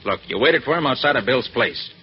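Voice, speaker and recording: An actor playing a confident, commanding master detective with an assured manner in a 1940s radio drama. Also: male voice, mid-range voice, lively intonation, a little fast, close to an everyday voice. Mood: hard, threatening. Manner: assured, crisp, authoritative. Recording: dull, noisy 1940s radio recording.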